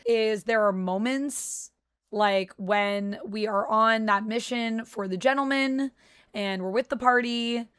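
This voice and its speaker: A young woman talks in a chatty, eager way, her voice a little worn from being sick. She sits fairly high in pitch. The sound is dry and close, with no room around it. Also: fairly bright tone, clean tone, no echo. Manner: chatty, enthusiastic fan talk. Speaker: channel host reviewing a show. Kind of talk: casual review vlog.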